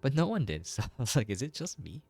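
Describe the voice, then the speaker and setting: A man's voice: mid-range voice, big pitch swings, fast talker, creaky, darkish tone, faint music behind. A man giving casual, rambling gameplay commentary as a game reviewer, in a conversational way.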